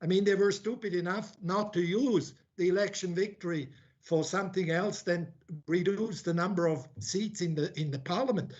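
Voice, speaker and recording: A man's voice: sits high, normal speed, a little mellow, dull audio. A man who grew up in Switzerland, giving a conference talk as an academic. He speaks bluntly, with many restarts and repeated words as he thinks aloud. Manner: blunt, informal asides.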